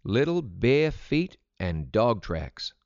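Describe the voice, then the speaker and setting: A man's voice: fairly low pitch, big pitch swings, takes it slowly, darkish tone, no room sound, muffled recording, full character voice. A weary storybook father and hunter , acted by a man. He speaks tersely and plainly, in a matter-of-fact way, with very little room sound.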